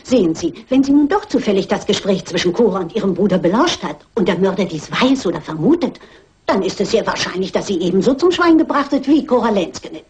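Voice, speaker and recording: An older woman playing a shrewd, persistent amateur sleuth in a radio crime play, husky, polite but insistent and wry. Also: medium pitch, sing-song, quick pace, a little mellow.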